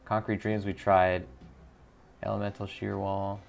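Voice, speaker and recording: A man's voice: lowish voice, little pitch movement, unhurried, creaky, slight background noise. A man hosting a gaming video, casually commenting and reading game text aloud, often muttering to himself as he thinks.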